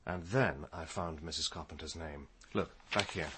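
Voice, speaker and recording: An actor playing a thoughtful senior police detective in a radio crime drama, measured and deliberate. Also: male voice, a bass voice, a bit bright, slightly rough, heavily acted. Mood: intent, explanatory. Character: methodical, thoughtful senior police detective, male, quietly probing.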